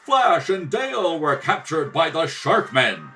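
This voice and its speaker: A man's voice: on the high side, sing-song, a little slow, pushed and bright, recorded from a distance, faint music behind. A man giving theatrical, dramatic narration in the style of an old-time radio adventure serial. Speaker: narrator, rehearsal reader. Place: kitchen table at home. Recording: home recording, slightly off the mic.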